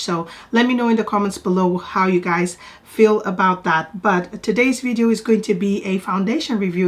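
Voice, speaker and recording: A woman whose first language is Portuguese, congested from a cold, chatting casually to camera in a product review and repeating words for emphasis. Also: mid-range voice. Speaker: beauty vlogger.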